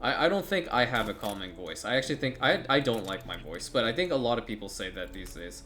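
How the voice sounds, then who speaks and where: Guy rambling thru his city builder run, thinking out loud, cusses a bit. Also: fairly low pitch, lively intonation, quick pace, slight background noise. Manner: rambling, thinks aloud, frequent restarts and swearing. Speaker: strategy game streamer. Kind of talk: casual gameplay commentary.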